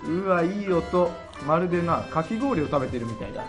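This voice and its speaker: A man's voice: a little high, lively intonation, fast, music in the background. A man co-hosting a comedy radio show, teasing and needling his partner in casual banter.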